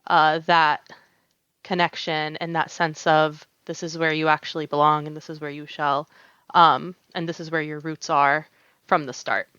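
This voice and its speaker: A woman in her young adult years tells long stories on a podcast, pausing with fillers and restarting her sentences. Her voice sits low and smooth. The recording is dry and slightly muffled. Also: level tone, a bit bright, no room sound. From United States. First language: Arabic. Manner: storytelling with many fillers and restarts, laughing often. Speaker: guest, tatreez artist. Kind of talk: casual podcast interview.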